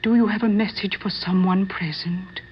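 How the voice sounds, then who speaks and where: An actress playing an imperious, solemn middle-aged séance medium in a radio drama, with formal, commanding delivery. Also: female voice, mid-range voice, steady tone, moderate pace, lightly acted. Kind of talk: old-time radio drama acting. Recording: dull lo-fi radio recording, faint hiss.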